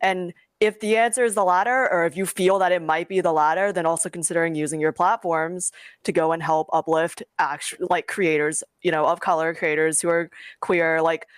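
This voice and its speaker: A woman's voice: lowish voice, fairly even intonation, brisk, clean tone, dry recording. A woman webcomic creator talking informally and emphatically in a casual panel discussion, giving her opinions.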